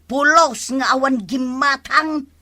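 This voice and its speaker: A woman playing a sharp, nagging village woman in a radio comedy drama, scolding and questioning. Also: high voice, slow, no echo, clean studio sound, heavily acted.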